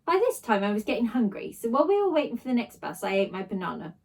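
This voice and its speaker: A woman's voice: on the high side, sing-song, fast talker, a little mellow, breathy edge. A woman reading a children's story aloud expressively, narrating in a child's first person. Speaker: story reader, teacher.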